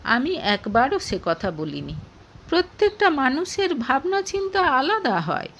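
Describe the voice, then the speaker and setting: A woman's voice: high-pitched, very animated, a little slow, fairly bright tone, a little hiss or hum, exaggerated voice. A woman narrator playing a reproachful young man who defends his mother and justifies himself, in an audio story. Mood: defensive.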